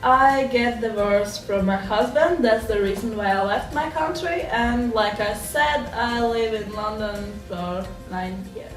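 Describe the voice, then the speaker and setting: A woman's voice: fairly high pitch, measured pace, hardly any pauses. A woman from Latvia, answering hesitantly in simple English. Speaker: interviewee. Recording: echoey room, distant mic, faint music.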